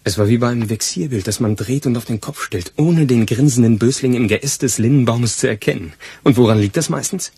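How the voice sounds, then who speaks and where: An actor playing an eager, loyal police assistant in a crime radio play, arguing colloquially. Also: male voice, medium pitch, a little fast, creaky, few pauses, mellow, husky, no echo. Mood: eager, deducing. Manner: colloquial, argumentative.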